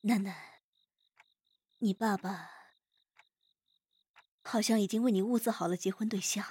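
A woman acting a gentle, attentive confidante in an audio drama, with a husky, breathy voice. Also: medium pitch, fairly even intonation, unhurried. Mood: gentle, concerned. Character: gentle, attentive female confidante tending someone.

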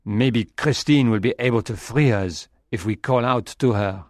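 A man's voice: mid-range voice, moderate pace, dry recording, clean studio sound, close to an everyday voice. A man voicing an earnest, anxious young rescuer in audiobook dialogue. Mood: urgent.

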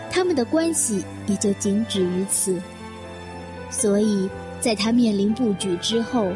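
A woman's voice: medium pitch, lightly acted. A woman playing a bitter, self-deprecating career secretary in an audio drama, giving a brooding, wistful inner monologue. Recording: music behind the voice.

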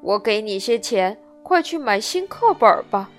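A woman voicing a stern, blustering male puppet-theatre boss with a soft heart in a children's storybook. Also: mid-range voice, big pitch swings, moderate pace, faint music behind, close to an everyday voice. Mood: softened, admonishing. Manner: loud, commanding, scolding.

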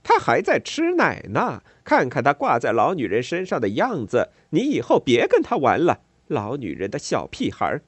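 A man voicing a coarse, brash neighbor woman who taunts loudly. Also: medium pitch, huge pitch swings, normal speed, few pauses, slightly rough, no room sound, pitched above the performer's own voice. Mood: brash mockery.

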